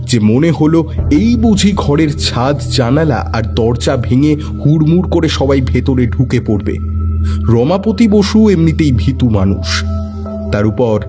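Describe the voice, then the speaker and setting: A man narrating a detective story with expressive, suspenseful delivery. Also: medium pitch, takes it slowly, music in the background.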